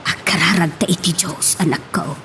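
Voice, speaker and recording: A woman's voice: on the low side, moderate pace, noisy background, close to an everyday voice. A woman playing a caring, coaxing mother with an emotive manner in an Ilocano audio drama. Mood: gently coaxing. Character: caring, coaxing mother advising her grown child.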